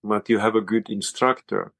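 A man with a Finland-Swedish accent and Swedish as his first language, a yoga and cold-water teacher talking as an interview guest, halting and restarting often. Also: lowish voice, fairly even intonation, gravelly, fairly bright tone, no room sound. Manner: halting, many restarts and fillers. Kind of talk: casual interview talk.

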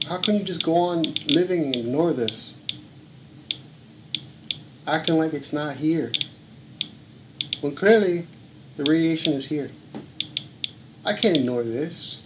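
A man's voice: a little high, measured pace, long pauses. Blunt, swearing man on a noisy home recording. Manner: earnest, blunt, swears, speaks up insistently. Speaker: home video blogger. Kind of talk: casual filmed commentary. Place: home room.

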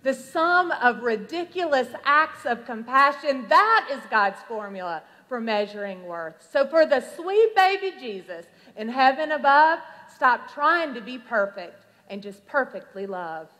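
Female spoken-word poet, higher-pitched, dramatic and rhythmic, heard in a big echoing hall. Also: big pitch swings, sharp tone. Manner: emphatic, dramatic delivery with drawn-out phrases. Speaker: reverend performing slam poetry. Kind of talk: rhythmic slam poetry recitation. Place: large hall at a youth conference. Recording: reverberant large hall.

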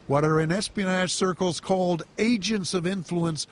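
Older reporter guy, pretty high voice, narrating a news piece, faint hum behind. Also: fairly even intonation, normal speed. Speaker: news correspondent.

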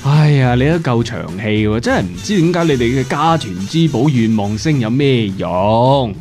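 Casting: man to play chatty, playful pig daddy, Hong Kong Cantonese, children's radio drama, soft music underneath. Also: mid-range voice, animated, moderate pace, lightly acted. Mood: teasing. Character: playful talkative pig dad and husband.

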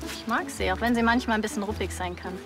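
A woman playing a brisk, friendly, self-assured riding instructor in a TV comedy drama. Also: medium pitch, music in the background, natural voice. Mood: cool, teasing. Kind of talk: TV drama acting.

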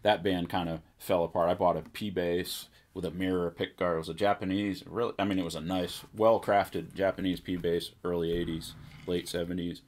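A man's voice: low voice, flat delivery, normal speed, a little mellow. A middle-aged man telling stories from his musician past in a casual, chatty way. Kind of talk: casual storytelling chat. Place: small indoor music studio, seated at a table.

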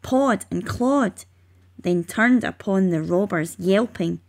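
A woman reading rhyming children's narration aloud, expressively and with measured emphasis. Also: medium pitch, darkish tone. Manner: measured, emphatic on sound words. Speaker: storybook reader.

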